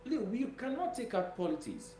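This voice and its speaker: A man's voice: extremely high pitch, sing-song, normal speed, mellow. A man with a Nigerian accent giving political commentary as an interview guest, emphatic and repeating phrases for stress. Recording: roomy echo with noise and hum.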